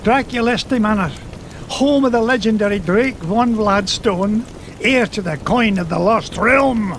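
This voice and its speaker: An actor playing a bold, fond old Scottish duck uncle on a treasure hunt in a cartoon game. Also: male voice, high-pitched, measured pace, a bit bright, slight background noise. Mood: brisk, confident. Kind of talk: animated cartoon game acting.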